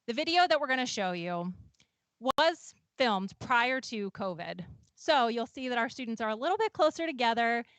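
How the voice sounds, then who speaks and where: A woman's voice: a little high, very animated, moderate pace, a bit bright, no echo. A woman admissions director giving an upbeat, reassuring welcome to a virtual open house. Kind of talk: welcoming presentation.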